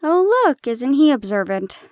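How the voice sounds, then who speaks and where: A woman acts a harried, loving young girlfriend in an audio roleplay. Her voice is high and expressive, with a teasing, coaxing way of talking. The recording is close and dry. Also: unhurried, no echo. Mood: stressed, exasperated.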